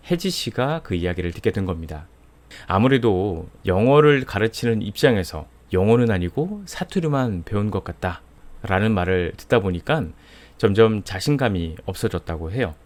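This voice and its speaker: A young man from Seoul hosting a voice channel, gently retelling a viewer's story and giving his view. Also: lowish voice. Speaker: host of a voice channel. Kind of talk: storytelling monologue to camera.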